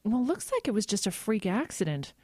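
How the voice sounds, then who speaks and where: A woman playing a composed, quietly sinister character in a horror audio drama, speaking calmly and reassuringly. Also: mid-range voice, a little fast, slightly rough, no room sound, clean studio sound.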